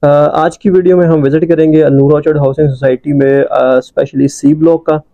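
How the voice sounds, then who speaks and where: Fast-talking man on a car tour. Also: fairly even intonation, dry recording, narrow, tinny audio. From Pakistan. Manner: brisk salesman's pitch with frequent "uh" fillers. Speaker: real estate agent. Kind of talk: drive-through property tour narration. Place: inside a moving car.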